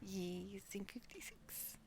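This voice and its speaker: A woman's voice: mid-range voice, slow pace, music in the background. A woman livestreaming a game, reading quest dialogue aloud and murmuring casual asides in between. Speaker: livestream gamer.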